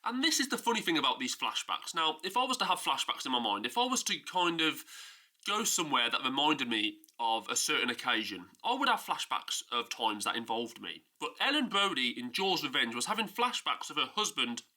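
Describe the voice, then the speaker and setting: A man's voice: medium pitch, big pitch swings, a little fast, sharp tone. A man with a stuffy nose from a cold, casually and emphatically ranting about a film in a vlog. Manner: exasperated, emphatic, mocking. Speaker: film review YouTuber.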